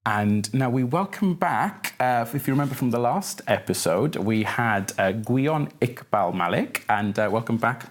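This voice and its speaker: A man's voice: medium pitch, animated, unhurried, hardly any pauses. A man presenting a poetry show, friendly and hesitant with many uhs. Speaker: radio presenter. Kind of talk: casual chat.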